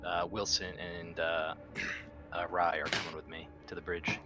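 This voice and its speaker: A man's voice: deep voice, little pitch movement, unhurried. A man playing a tabletop roleplaying game, talking casually and speaking for his character.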